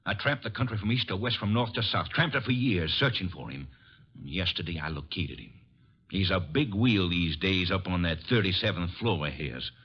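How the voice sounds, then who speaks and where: An actor playing a bitter, vengeful man on the run, halting but insistent, in old radio drama style. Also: sits low, animated, breathy edge. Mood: weary, bitter. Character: bitter, vengeful drifter wrongly blamed for a killing. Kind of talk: 1950s radio drama acting. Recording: muffled old radio recording.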